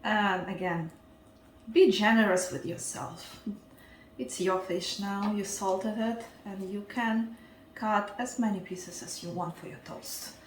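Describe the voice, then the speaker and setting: A woman's voice: mid-range voice, fairly even intonation, slow pace, echoey room, distant mic, a little hiss or hum, rough recording. A woman with a Ukrainian accent hosting a casual cooking demonstration, chatty and often checking in with her viewers. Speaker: cooking show host.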